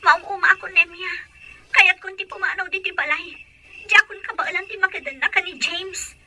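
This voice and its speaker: A woman's voice: very high-pitched, steady tone, a little fast, background noise. A woman playing a fed-up, complaining wife in an Ilocano radio drama, speaking with an Ilocano accent. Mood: tearful exasperation.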